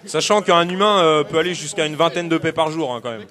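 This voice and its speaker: A young man hosting a lively student radio quiz debate, playful and joking with apologetic asides. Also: fairly high pitch, quick pace. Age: young adult. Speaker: quiz host.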